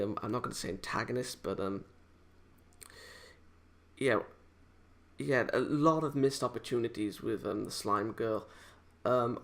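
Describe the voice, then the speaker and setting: A man giving a casual, unscripted review in a halting, hesitant, rambling way, often correcting himself as he goes. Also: medium pitch, gravelly. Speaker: amateur video game reviewer.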